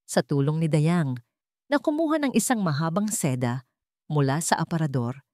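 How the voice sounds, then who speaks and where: A woman's voice: deep voice, big pitch swings, normal speed, darkish tone, no echo, studio quality. A woman reading a dramatic story as a scripted narration.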